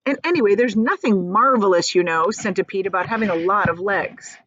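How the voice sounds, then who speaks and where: A woman's voice: mid-range voice, brisk, a bit bright, no echo, highs cut off, natural voice. A woman voicing a prim, indignant male earthworm in a storybook, grumpy and disapproving. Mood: exasperated.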